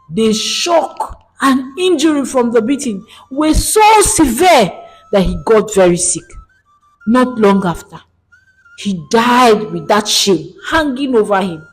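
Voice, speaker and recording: A woman's voice: sits high, pitched above the performer's own voice, low-quality recording. Villain nanny, performed by a woman storyteller: bitter, emphatic, raised and swooping voice cracking with emotion, folktale. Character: bitter, vengeful young nanny, a menacing female villain.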